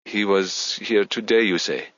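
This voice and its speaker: A man's voice: on the low side, unhurried, fairly bright tone, no room sound, highs cut off. A man voicing a polite, effervescent Spanish woman receptionist, chatty and apologetic but businesslike. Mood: bubbly, helpful.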